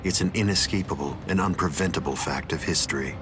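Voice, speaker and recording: Guy doing smug calm anime game bad guy, low voice, talks down to everyone, music under it. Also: fast, lightly acted. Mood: coldly mocking. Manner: smug, composed, condescending. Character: calm, arrogant, godlike male villain. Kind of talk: anime game dub acting. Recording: music behind the voice.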